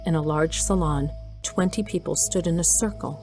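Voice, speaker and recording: A woman with a low voice narrates a scripted audio drama, telling the story over a clear bed of music. Her delivery is that of a storyteller reading prepared narration. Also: normal speed, darkish tone.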